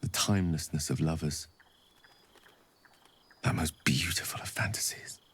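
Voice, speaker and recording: A man's voice: very deep voice, a little slow. An actor playing an eloquent, learned male wizard in a video game, speaking in articulate, tender, formal phrasing. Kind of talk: game voice acting. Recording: game audio with soft music behind.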